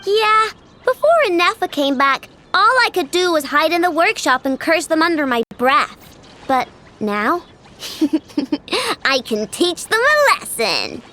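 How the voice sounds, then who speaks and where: A woman voicing an expressive young girl inventor in a video game, blunt and excitable, with grumpy, sleepy edges. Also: very high voice, takes it slowly, full character voice. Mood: explaining. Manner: expressive, blunt, childlike speech. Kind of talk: video game character voice acting.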